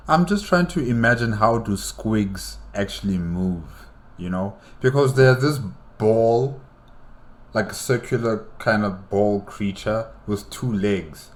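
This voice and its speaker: A man's voice: fairly low pitch, slow pace. A man hosting a reaction video, commenting casually and thinking aloud with curious questions. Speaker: reaction channel host.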